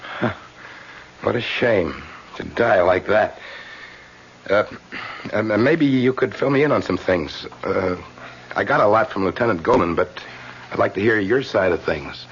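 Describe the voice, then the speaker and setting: Low-voiced, confident police detective. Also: male voice, lively intonation. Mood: probing. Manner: deliberate, assured, with thoughtful hesitations. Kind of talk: old-time radio drama acting. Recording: muffled, noisy old radio recording.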